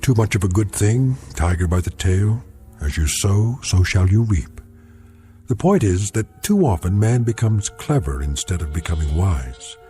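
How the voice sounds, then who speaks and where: An actor as a wry, grave narrator of uncanny moral tales, speaking in a clipped, sententious way. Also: male voice, deep voice, big pitch swings, unhurried, mellow, faint music behind. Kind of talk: scripted narration, classic anthology drama.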